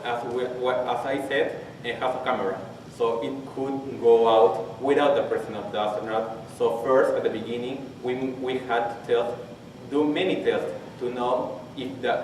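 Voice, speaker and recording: A man in his twenties from Peru with a Spanish accent, his first language, giving a technical conference talk and haltingly restarting phrases as he searches for English words. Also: medium pitch, a little mellow. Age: 20s. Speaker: conference presenter. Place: large conference hall. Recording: very echoey hall, distant mic, faint hiss.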